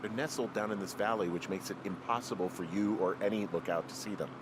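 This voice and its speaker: A man acting a low-voiced, uneasy American lookout. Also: fairly even intonation, fast talker, noisy background, natural voice. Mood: wary, puzzled. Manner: casual, profane, conversational. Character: wry, uneasy man working as a fire lookout. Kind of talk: naturalistic video game dialogue.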